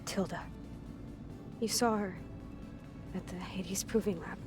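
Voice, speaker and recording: Game heroine's companion, played by a woman: guarded, hurt, mid-pitched; cutscene with score. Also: fairly even intonation, lightly acted. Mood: wistful. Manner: hushed, halting, turns defensive and raw. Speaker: game character. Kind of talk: video game voice acting. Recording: game cutscene with music behind.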